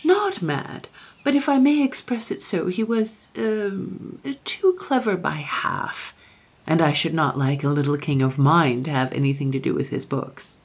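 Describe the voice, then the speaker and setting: A woman's voice: sits low, lively intonation, measured pace, husky, heavily acted. A woman voicing a fussy, deferential and anxious male courtier in a storybook, formal and hesitant in speech. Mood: shocked, hedging.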